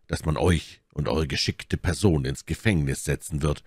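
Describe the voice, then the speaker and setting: This is a man reading as a composed musketeer who takes command in an audiobook adventure. His voice is very low, measured and authoritative, with wry calm. The recording is dry and close. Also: husky, no room sound, heavily acted. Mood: cool, wry. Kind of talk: audiobook character dialogue.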